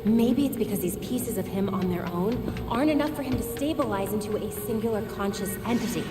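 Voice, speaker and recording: A woman's voice: medium pitch, expressive, fairly quick, over loud music, natural voice. A young American woman playing a brainy, confident masked vigilante in a video game, assured and articulate. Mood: assured, analytical. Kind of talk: video game cutscene acting. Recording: roomy hall, distant, score behind.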